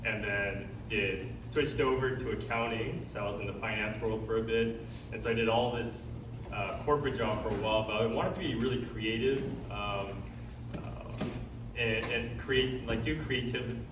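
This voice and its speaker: A man giving a casual, halting account of himself as a guest on a live panel. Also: fairly low pitch. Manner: informal, halting, repeats words. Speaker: content creator, panel guest. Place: indoor department store event. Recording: roomy event space, distant mic, clear background noise.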